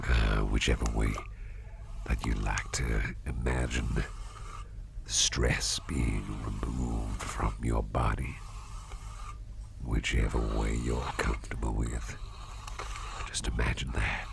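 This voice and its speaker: A man playing a gentle, doting businessman boss in an ASMR roleplay, murmuring soothingly in a gravelly, breathy half-whisper. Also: a bass voice, little pitch movement, takes it slowly, pauses often, background noise, full character voice. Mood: soothing, tender. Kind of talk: ASMR roleplay, personal attention.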